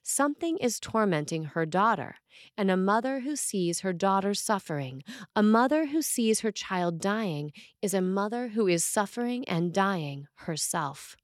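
A woman with a medium-pitched voice reads a sermon as a priest would. Her delivery is measured and earnest, leaning dramatically on key phrases. The recording is close and dry, with no room around the voice. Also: lively intonation, no echo. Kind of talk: scripted sermon reading.

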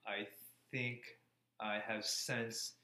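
A man's voice: lowish voice, little pitch movement, very slow, sharp tone. An American man in his thirties, answering interview questions in a casual way. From Florida, USA. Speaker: interview guest, cardiology fellow. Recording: echoey room, faint background noise.

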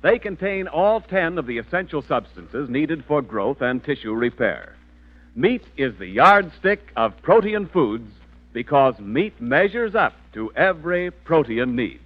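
Male American announcer, highish voice, very lively intonation, 1940s radio delivery, muffled recording. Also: a little slow. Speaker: announcer and narrator.

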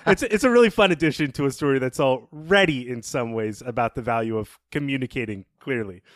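Man, average pitch, podcast co-host, casual literary talk, analytical, thoughtful phrasing, dry close sound. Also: fairly quick, a bit bright, no echo.

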